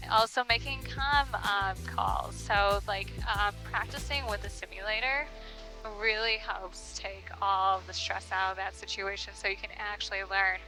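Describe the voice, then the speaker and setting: A woman's voice: mid-range voice, low-quality recording. A woman hosting a casual interview as a pilot, talking in an informal, light-hearted way. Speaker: host, pilot. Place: small aircraft cockpit in flight.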